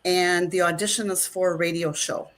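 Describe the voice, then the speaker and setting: Chatty middle-aged woman, lowish voice. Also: steady tone, normal speed, a bit bright. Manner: chatty, rambling, many fillers. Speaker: actress and guest. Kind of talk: casual interview chat.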